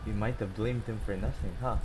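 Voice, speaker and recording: A woman's voice: a bass voice, fairly even intonation, fairly quick. A young woman giving casual gameplay commentary in short, laid-back murmured reactions. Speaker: gaming video host. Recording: clear background noise, game audio.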